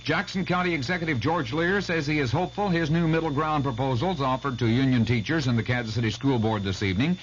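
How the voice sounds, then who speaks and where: A man reading the local radio news as anchor in a brisk, clipped way. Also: medium pitch. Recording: muffled old radio broadcast with faint hiss.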